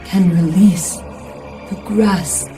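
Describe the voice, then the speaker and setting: An actress playing a solemn, commanding Greek goddess in a video game, with a stately and formal delivery. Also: female voice, medium pitch, fairly even intonation, drawn-out words, reverberant, far from the mic. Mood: stern, urgent. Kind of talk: dramatic video game acting. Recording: music under the dialogue.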